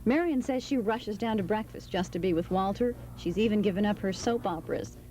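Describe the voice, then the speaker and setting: A woman's voice: mid-range voice, brisk, warm tone. An American woman working as a TV news reporter, reading scripted report narration. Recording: noisy archival 1980s TV news tape.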